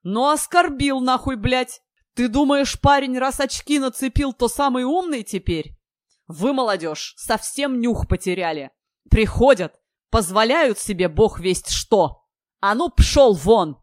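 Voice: medium pitch, takes it slowly, few pauses, no room sound, clean studio sound, close to an everyday voice. A narrator voicing a drunk, aggressive older tradesman in an audiobook, slurred and foul-mouthed, spoiling for a fight. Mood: drunk, menacing. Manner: belligerent, menacing, repetitive swearing.

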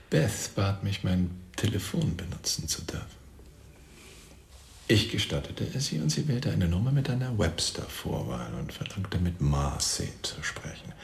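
Casting: suave middle-aged doctor under questioning, low gravelly voice, pompous and precise, radio play. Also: male voice, exaggerated voice. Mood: musing, sly. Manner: formal, precise, pompous wording with deliberate pauses. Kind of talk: radio drama acting, monologue testimony. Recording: roomy echo, faint background noise.

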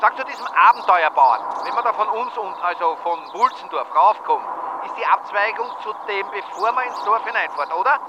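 An Austrian actor playing a cocky, unbothered buddy, heard through a phone filter in a radio drama. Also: male voice, high-pitched, expressive, a little fast. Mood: dry, sly. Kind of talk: naturalistic radio drama acting. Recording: band-limited phone call with loud car noise.

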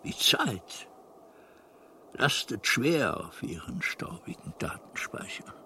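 A man's voice: mid-range voice, big pitch swings, takes it slowly, pushed and bright, whispered, exaggerated voice. A man playing a kindly, absent-minded old alien planet builder in a radio drama, with a hesitant, meandering delivery. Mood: mysterious, mild. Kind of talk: German radio drama acting.

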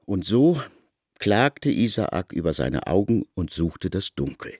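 A man narrating a literary radio drama in a formal, emphatic, expressive manner. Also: low voice, slow, no room sound.

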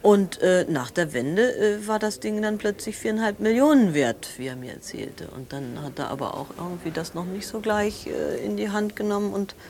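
An actress playing a bereaved sister who gropes for words in a creaky voice, in a naturalistic radio drama. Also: female voice, medium pitch, animated, darkish tone, close to an everyday voice. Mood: explanatory. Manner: halting, searching for words. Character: shaken woman, bereaved sister of a victim.

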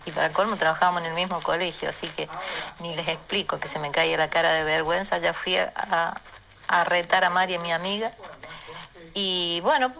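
Casual storyteller, female, Argentine accent. Lowish, creaky voice, colloquial and full of pauses. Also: fairly even intonation, sharp tone, poor audio. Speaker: storyteller in a listener voice message. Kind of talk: personal anecdote, casual storytelling.

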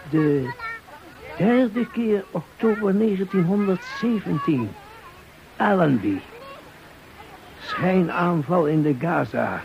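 A man in a radio play slowly telling his memories of earlier days. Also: sits high, creaky, highs cut off, noisy background. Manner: slow, telling old memories. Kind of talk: radio drama recollection.

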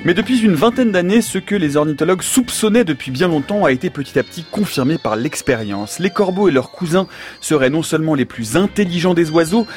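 A man's voice: a little high, fairly quick, soft background music. A middle-aged man hosting a radio science show from a studio, reading a dramatic intro and then interviewing with easy fillers. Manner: theatrical scripted delivery, then relaxed with fillers. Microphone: studio microphone.

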